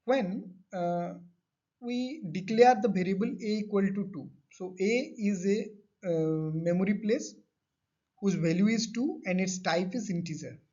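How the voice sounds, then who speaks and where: Male lecturer, high voice, repeats and rephrases points, muffled lo-fi recording. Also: measured pace, warm tone. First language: not Hindi. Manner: explains with everyday examples. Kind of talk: classroom lecture. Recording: dull lo-fi audio.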